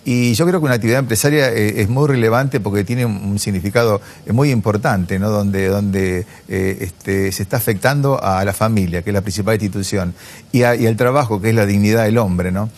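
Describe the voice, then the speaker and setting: A businessman guest in a television studio chair, Argentine accent, husky and creaky, thinking aloud and checking that you agree. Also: lowish voice, fairly quick, darkish tone. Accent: Argentine Spanish. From Chacabuco, Argentina. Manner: hesitant, reflective, seeks agreement with tag questions. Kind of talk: conversational interview answers. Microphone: lapel.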